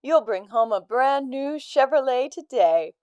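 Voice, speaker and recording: A woman's voice: sits high, animated, a little slow, a little mellow, smooth, no room sound. A woman playing a sinister, coaxing radio advertising announcer with a polished, seductive sales manner, in a radio play. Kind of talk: mid-century radio commercial delivery.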